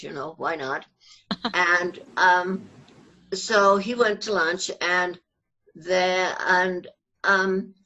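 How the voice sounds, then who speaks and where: An older woman being interviewed, telling family anecdotes conversationally in a halting but animated, storytelling manner, on muffled audio. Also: fairly low pitch. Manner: halting, animated retelling with acted-out quotes.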